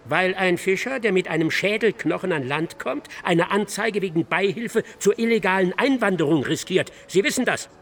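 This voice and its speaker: A man's voice: sits high, animated, normal speed, few pauses, fairly bright tone, slight background noise. An actor in a German radio drama playing a distraught, guilt-ridden man, brooding and declamatory, who repeats himself in agitation. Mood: accusing, insistent. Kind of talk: radio drama acting, literary monologue.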